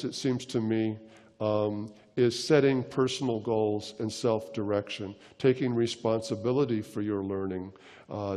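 An older man giving a conference keynote on stage into a headset mic, lecturing in a measured way with frequent ums and uhs. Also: medium pitch, mellow, long reverb tail. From United States. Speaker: keynote speaker. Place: large conference hall stage.

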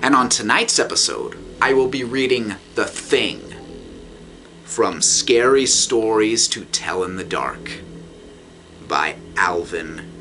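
A young man hosting a storytime show, reading a horror story aloud in a deliberate, theatrical way with measured pauses. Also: on the low side, lively intonation, vocal fry, a little hiss or hum. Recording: voice over prominent background music. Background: loud music and sound effects.

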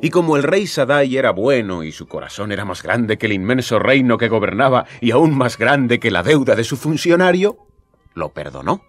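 A man's voice: mid-range voice, sing-song, moderate pace, faint music behind. An actor playing a down-to-earth male storyteller in a radio drama, speaking colloquially. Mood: storytelling.

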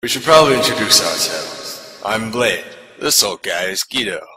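A man playing a crude, irreverent fighting priest in a fan parody anime dub, blunt and deadpan. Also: on the low side, moderate pace, slightly rough, dry recording, full character voice. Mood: irritated. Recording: music behind the voice.

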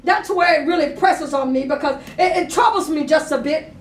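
Very high-pitched woman preacher, emphatic and exhorting. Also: normal speed. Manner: emphatic, repetitive exhorting, rising to shouts. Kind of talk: fervent Pentecostal-style sermon with call and response. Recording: echoey room, far from the mic.

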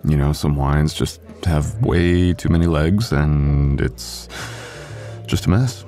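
A man playing a sweet, apologetic, devoted young husband in an intimate boyfriend ASMR roleplay, with a halting, tender, self-deprecating delivery. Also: very deep voice, mellow, soft background music. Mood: playful, teasing.

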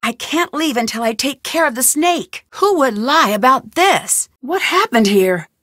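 A determined young woman detective muses aloud over clues and puzzles in a game. Her voice sits a little high and bright. The sound is close and dry, with no room around it. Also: animated, unhurried, no room sound, exaggerated voice. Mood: curious. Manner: thinking aloud, inquisitive. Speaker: game heroine. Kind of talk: game voice lines.

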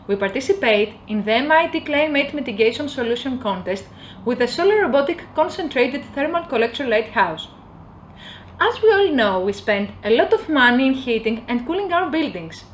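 A young woman from Greece, giving an earnest, upbeat scripted promotional pitch. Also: very animated, moderate pace, a little hiss or hum. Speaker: company presenter. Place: indoor office with bookshelves.

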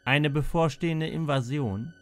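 A man narrating a scripted mystery documentary in a measured way, with dramatic pauses and emphatic short sentences. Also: on the high side, slight background noise. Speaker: narrator of a mystery audio report.

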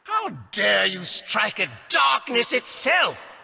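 Casting: a slow, hammy demon lord, gravelly and very high-pitched, echoing hall. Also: male voice, big pitch swings, pushed and bright, full character voice. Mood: menacing. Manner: booming, melodramatic. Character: grandiose male vampire demon lord, theatrical. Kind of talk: game voice line.

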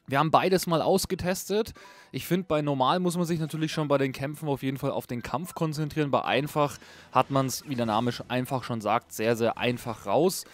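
A man's voice: mid-range voice, brisk. A man hosting a casual, unscripted video game review in a chatty, informal way. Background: music under a voice-over.

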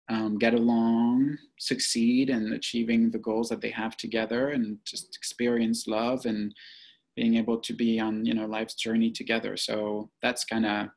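Creaky-voiced man. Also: medium pitch, a little mellow. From French-speaking Belgium. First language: French. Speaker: podcast guest, psychotherapist. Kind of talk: reflective interview answers.

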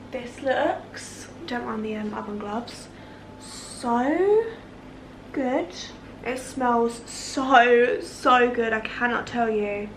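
Bubbly high-voiced young woman vlogging. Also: noisy background. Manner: chatty and excitable, gushing with frequent fillers. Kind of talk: casual cooking vlog. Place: home kitchen and living room, indoors.

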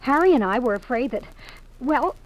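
A woman's voice: high-pitched, lively intonation, moderate pace, lo-fi sound. An actress playing an anxious, hesitant married woman with an imploring manner, in 1950s radio drama style. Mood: worried.

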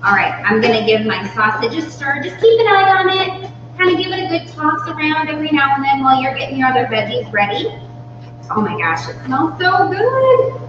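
Higher-voiced woman in a very echoey kitchen. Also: big pitch swings, fairly quick. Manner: informal, instructional, explains step by step. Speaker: cooking class host. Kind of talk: live cooking demonstration. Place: indoor demonstration kitchen. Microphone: far from the speaker. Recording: distant mic, very echoey room, muffled, hum.

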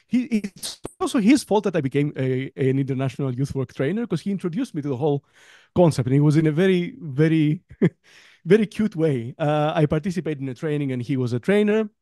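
A man's voice: on the high side, expressive, fairly quick, warm tone, dry recording, studio quality. A man in his thirties from Greece, talking casually as a guest trainer in a webinar, rambling a little and laughing between phrases. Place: home room, on a video call. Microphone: headset with desk mic.

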